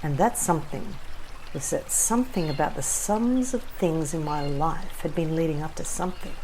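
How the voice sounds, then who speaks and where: Female actor as whimsical, self-satisfied woman, audio drama narrator. Lower register, theatrical and wry, light noisy ambience. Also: a little mellow. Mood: wistful, grandiose. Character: self-satisfied, whimsical Australian woman recalling a wedding trip. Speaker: narrator and lead of an audio play. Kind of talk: scripted first-person storytelling.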